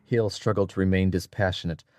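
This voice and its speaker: A man's voice: low-pitched, fairly even intonation, a little fast, a little mellow, no echo. A man narrating a dramatic audiobook from a script as a storyteller.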